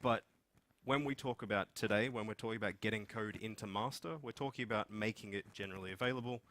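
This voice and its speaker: A man's voice: on the low side, fast talker, a bit bright. A man with an Australian accent giving an informal conference presentation as one of the speakers. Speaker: conference speaker, software development manager. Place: meeting room with seated audience, indoors.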